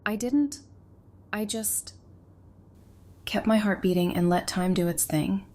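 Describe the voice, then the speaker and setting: A woman acting a grief-worn but steadying survivor in an audio drama, speaking in a heavy, halting way. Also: medium pitch, a little mellow, close to an everyday voice. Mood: raw, weary.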